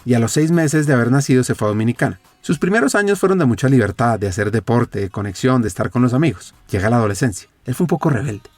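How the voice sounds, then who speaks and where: A man's voice: mid-range voice, animated, quick pace, few pauses, a little mellow, no echo, faint music behind. A podcast host narrating a guest's background and asking questions, speaking straight to his listeners. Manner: storytelling, addressing listeners directly. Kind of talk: scripted narration and interview questions.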